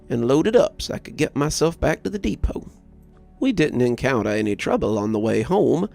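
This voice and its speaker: A man narrating a scripted first-person story as a horror host, with a measured, dramatic storyteller's delivery and a gravelly voice. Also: medium pitch, a little mellow. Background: background music.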